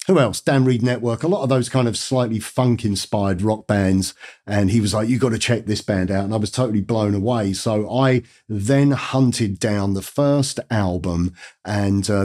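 An English man in his fifties teaching guitar to camera, croaky, nasal and gruff from a cold, chatty and rambling. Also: lowish voice, darkish tone. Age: 50s. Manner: chatty, rambling, enthusiastic with fillers. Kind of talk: casual guitar lesson talk to camera. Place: dark studio indoors.

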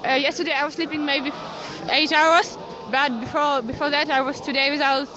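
A young Ukrainian woman with a Ukrainian accent, streaming live from a cold city street in halting English with many restarts, speaking into a phone. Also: high voice, fairly even intonation. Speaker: citizen journalist. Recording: lo-fi phone stream with crowd around. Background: crowd of protesters.

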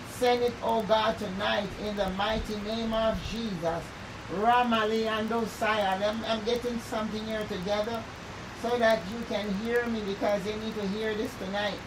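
A woman leading fervent prayer, raspy-voiced, with intense repeated declarations and speaking in tongues. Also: mid-range voice. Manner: intense, repetitive prayer, breaks off to check audio. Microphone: phone or earpiece mic. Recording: distant mic with clear background noise.

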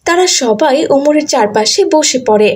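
A woman's voice: high voice, lively intonation, normal speed, a little mellow. A woman reading scripted narration for a children's story.

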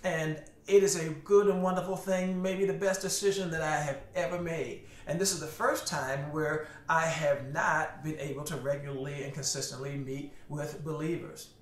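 A middle-aged male pastor delivers a sermon in an earnest, preacherly way, his voice sitting a little higher than most men's. He speaks from a home study to a camera on the desk, and the room gives the sound a noticeable echo. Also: steady tone, moderate pace, few pauses, slight background noise. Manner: earnest, preacherly, with repeated words and rising emphasis. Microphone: camera at desk, head and shoulders framing.

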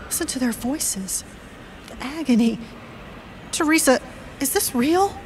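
An actress playing an anguished, guilt-ridden woman in an audio drama, a woman speaking in a haunted, halting way. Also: high-pitched, expressive, noisy background.

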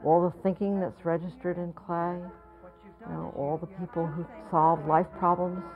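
A woman's voice: fairly low pitch, little pitch movement, moderate pace, dull audio, over music. An older woman, a potter, talking reflectively in an interview, often searching for words and repeating herself. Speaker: potter and art school founder. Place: studio or home with pottery shelves.

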